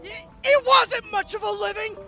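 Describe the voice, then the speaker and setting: Actress as a lady wasteland major, super high bright voice, takes her time, casual interview answers, bit of music under. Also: steady tone, moderate pace, vocal fry, exaggerated voice. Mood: matter-of-fact. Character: matter-of-fact female military major in a wasteland. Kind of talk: audio drama acting, interview.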